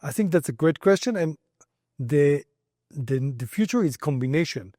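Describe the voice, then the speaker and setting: Mellow Israeli man explaining things. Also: on the high side, animated, moderate pace, no echo, studio quality. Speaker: company founder and credit expert interviewee. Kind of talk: explanatory interview answers.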